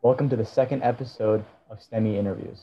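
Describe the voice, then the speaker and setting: A man's voice: on the low side, steady tone, quick pace, dark tone, slightly rough. Polite male host, dull lo-fi sound. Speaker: interview host. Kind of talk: scripted interview questions. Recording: dull lo-fi sound with faint music.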